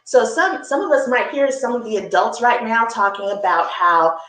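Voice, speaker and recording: A woman hosting a children's story time, reading a picture book aloud with expressive, encouraging asides and simple explanations. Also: fairly high pitch, normal speed, roomy sound, rough recording.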